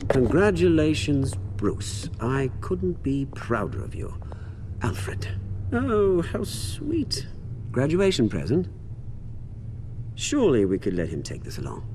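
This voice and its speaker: An actor playing a smug, mocking crook with a theatrical, sarcastic manner in a video game. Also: male voice, medium pitch, huge pitch swings, slow pace, background noise. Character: smug mocking British crook posing as executive.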